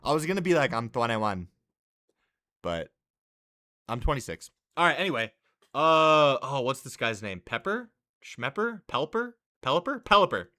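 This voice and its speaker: A man in his twenties from California with an American accent, chatting casually on a live stream in excitable, slangy talk and answering chat messages. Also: medium pitch, moderate pace. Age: 20s. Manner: excitable, slangy, swears, says "bro".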